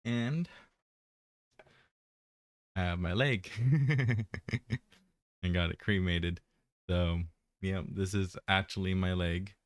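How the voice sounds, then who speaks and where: A man's voice: sits low, takes it slowly, pauses often, no echo. A man giving a casual personal update, rambling and hesitant, often trailing off mid-thought. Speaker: vlogger.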